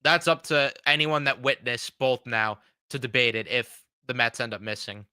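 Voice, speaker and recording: Blunt, bright-voiced young man ranting. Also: medium pitch, steady tone, normal speed, clean tone, dry recording, studio quality. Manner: blunt, frustrated, swears freely. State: fighting a cold. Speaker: sports fan show host. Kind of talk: casual reaction commentary.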